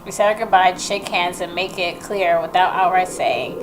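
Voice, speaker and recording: A woman's voice: on the low side, steady tone, normal speed. Woman gamer reading aloud, noisy background. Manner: reactive, informal asides. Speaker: gaming video host. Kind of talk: let's play commentary and reading aloud game text. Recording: clear background noise and game ambience.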